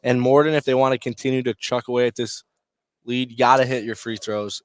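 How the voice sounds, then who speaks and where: A man doing live play-by-play basketball commentary in clipped phrases, with excitable calls on big plays. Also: mid-range voice, fairly quick, clean tone. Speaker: play-by-play sports announcer. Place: courtside at a basketball game.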